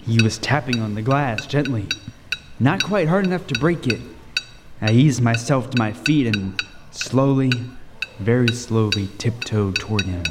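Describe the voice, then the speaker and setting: A man playing a haunted lighthouse keeper, telling his ordeal as radio drama narration with grim, suspenseful, deliberate delivery. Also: medium pitch, very noisy, natural voice. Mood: tense dread. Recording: echoing hall reverb. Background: music.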